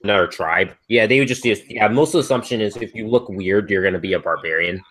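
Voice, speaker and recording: A man's voice: on the low side, quick pace, a bit bright. A man chatting casually and wordily, repeating himself as he circles around his point. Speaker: co-host of a casual stream. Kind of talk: casual rambling chat.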